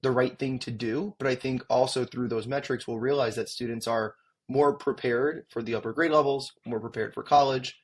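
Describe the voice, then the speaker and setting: A man with a husky voice explains his education tech company as an interview guest. He talks in an articulate, explanatory way with occasional ums. His pitch sits in the middle of the range. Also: steady tone, normal speed. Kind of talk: interview answers.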